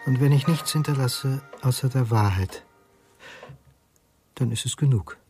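A man acting in a radio drama as a resolute letter writer confessing the truth, solemn and deliberate. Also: mid-range voice, natural voice. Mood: solemn, resolved. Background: music.

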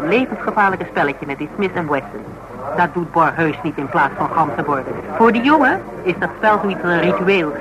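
A woman's voice: fairly low pitch, lightly acted, poor audio. Radio play: actress as outspoken woman, Netherlands Dutch accent, argumentative and teasing, stubbornly reasons things out in everyday language. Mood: teasing, persuasive. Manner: emphatic, argumentative, colloquial, teasing. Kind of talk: naturalistic radio drama dialogue.

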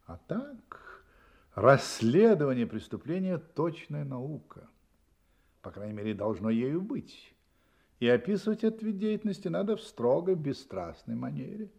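A man acting a vain, didactic gentleman detective in an audio play, speaking in a pedantic, emphatic manner. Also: a little high, big pitch swings, moderate pace, gravelly, husky, exaggerated voice. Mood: critical, lecturing. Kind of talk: theatrical radio-play dialogue.

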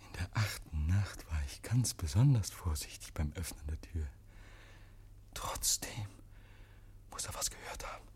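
Crazed murderer confessing, slow breathy whisper, halting and obsessive, old german radio drama. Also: male voice, deep voice, expressive, a little mellow. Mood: hushed, tense. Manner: halting, feverishly intense, repeating phrases. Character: deranged male murderer confessing to police, haunted, obsessive.

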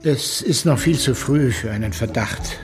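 A courteous male detective voiced by an actor from a radio crime drama. He has a mid-pitched voice and chooses his words with formal care, a little old-fashioned in his phrasing. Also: unhurried, soft background music, natural voice. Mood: measured, probing. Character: courteous, careful male police investigator.

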